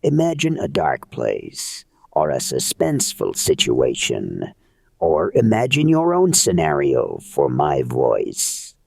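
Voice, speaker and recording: A synthetic male voice playing an evil, theatrical, Joker-like devil villain with a gravelly, husky voice in a character voice demo. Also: a bass voice, wildly swooping pitch, darkish tone, no room sound, clean studio sound, full character voice. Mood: sinister, suspenseful. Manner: slow, suspenseful.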